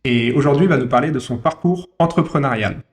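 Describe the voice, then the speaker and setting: A man's voice: mid-range voice, brisk. A man hosting an entrepreneurship interview podcast, greeting his guest and asking about his life in a friendly way, with frequent fillers. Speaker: podcast host. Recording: roomy echo.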